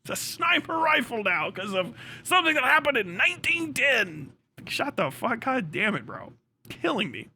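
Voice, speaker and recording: A man livestreamer giving casual commentary, ranting in a sarcastic, animated way with lots of swearing. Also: very high voice, moderate pace, gravelly, slight background noise.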